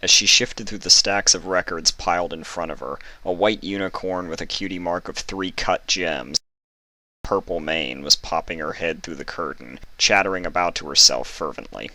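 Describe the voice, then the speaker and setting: A man who reads fan fiction, moving between casual introduction and expressive story narration. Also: low voice, moderate pace, no echo, low-quality recording.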